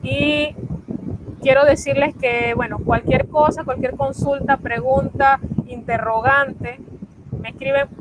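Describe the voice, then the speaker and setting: Woman teacher, patient and repetitive, high voice, noisy recording. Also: steady tone, takes it slowly. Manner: patient, repeats key points. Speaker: French teacher. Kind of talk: online class explanation. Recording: loud background noise.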